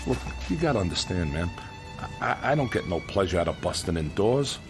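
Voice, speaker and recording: Gruff kindly contractor, American accent, animated pitch, colloquial, earnest, insistent, radio drama, faint music behind. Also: male voice, brisk. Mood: earnest, explaining. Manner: colloquial, ungrammatical working-man speech, earnest and insistent. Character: gruff working-class demolition contractor, blunt but kind-hearted.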